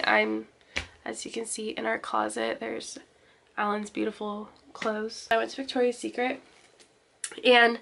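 A young woman chatting to her camera while sick with a cold, her voice slightly nasal, bright and edged with vocal fry. She rambles along with fillers, filming close up in a parked car and later in a closet. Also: a little high, slight background noise. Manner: chatty, rambling with ums and likes. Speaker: vlogger. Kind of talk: casual chat to camera. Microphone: handheld camera close up.

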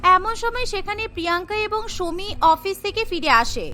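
A woman's voice: very high-pitched, expressive, normal speed, pushed and bright. A woman narrating a scripted cartoon story as its storyteller.

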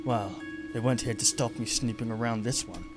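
A man's voice: mid-range voice, fairly even intonation, brisk, close to an everyday voice. Man voicing a brooding, haunted noir detective, over music. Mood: grim, suspicious. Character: brooding, haunted noir male detective, self-doubting monster.

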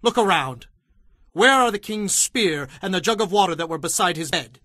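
A man's voice: high-pitched, lively intonation, moderate pace, sharp tone, dry recording, studio quality, heavily acted. An actor playing a bold, devout young male warrior in an earnest, declamatory audio Bible drama. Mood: taunting.

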